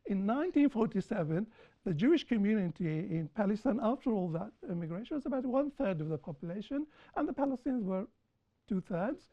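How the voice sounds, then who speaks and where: A woman speaking on a panel after a film screening, lecturing in a deliberate way and repeating points for emphasis. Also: mid-range voice, animated. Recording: dull lo-fi recording.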